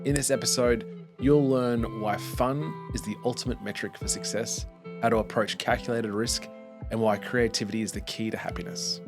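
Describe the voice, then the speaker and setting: A man hosting a podcast, reading a smooth intro and then asking long, rambling questions. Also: mid-range voice, fairly even intonation, normal speed, over music. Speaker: podcast host. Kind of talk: podcast host intro and interview.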